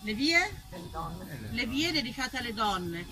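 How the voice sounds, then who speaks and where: A woman from Tuscany performing a theatrical monologue as an actress and storyteller, expressive and emphatic, dwelling on single words. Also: on the high side, slow pace, over music. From Tuscany, Italy. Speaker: stage actress, writer and storyteller.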